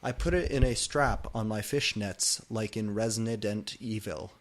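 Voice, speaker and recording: A man giving a deliberate, theatrical dramatic reading of fan fiction. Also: on the low side.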